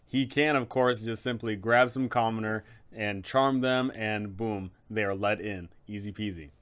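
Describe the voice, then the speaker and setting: A man's voice: on the low side, steady tone, a little slow, a bit bright. A man hosting a game master's guide, narrating in an emphatic, conversational explainer style.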